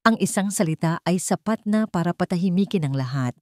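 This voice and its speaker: A woman's voice: on the low side, lively intonation, a little fast, dark tone, no echo, natural voice. A woman voicing a cold, resolute billionaire man in a dramatic story, firm, commanding and protective.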